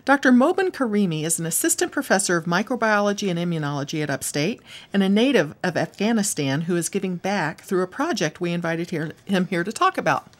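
A woman hosting a radio health talk show, interviewing guests with brisk, short prompts and acknowledgments. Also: on the low side, animated.

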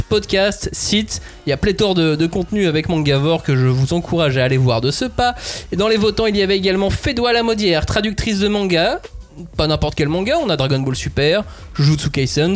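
A young man hosting a panel podcast, lively and jokey with theatrical emphasis. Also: high voice, moderate pace, faint music behind. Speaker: host.

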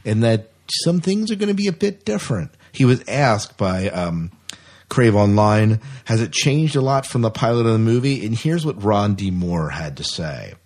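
A man's voice: fairly low pitch, sing-song, moderate pace, a little mellow. A man with an American accent co-hosting a podcast in a casual, chatty style. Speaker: podcast co-host. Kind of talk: casual sci-fi news chat.

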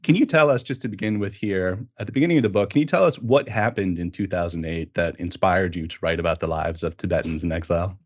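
Male interview host with a lowish voice, conversational questioning, slightly muffled lo-fi sound. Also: fast talker, hardly any pauses. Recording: dull, lo-fi audio.

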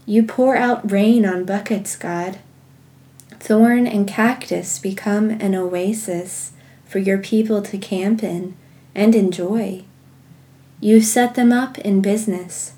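Slow, mellow woman guiding prayer. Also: mid-range voice, fairly even intonation. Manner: measured, meditative, with pauses. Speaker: guided prayer podcast host. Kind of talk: guided contemplative prayer and scripture reading.

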